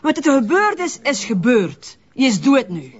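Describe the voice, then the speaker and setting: A woman's voice: high-pitched, sing-song, unhurried, highs cut off. A woman with a Flemish accent playing a weary, nagging partner in a radio crime drama, colloquial and pleading. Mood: pleading, exasperated.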